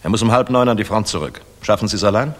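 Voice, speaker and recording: An actor playing a dashing, world-weary middle-aged air force general in a 1960s German radio play, musing and commanding. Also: male voice, fairly low pitch, quick pace, close to an everyday voice. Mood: brisk, tired. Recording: reverberant 1960s mono radio recording.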